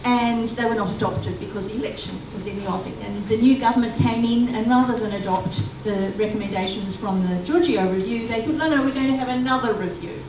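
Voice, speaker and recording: A woman's voice: a little high, brisk, few pauses. Woman answering at a public forum. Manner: explanatory, restarts mid-sentence. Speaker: panelist for a residents' group. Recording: echoey hall, distant mic, background noise.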